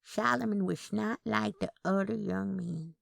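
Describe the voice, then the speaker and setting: A woman voicing a frail, wistful twin aunt in her eighties with a soft, sing-song manner, in a Southern Gothic story. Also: mid-range voice, unhurried, vocal fry, no echo, studio quality, pitched above the performer's own voice. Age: 80s.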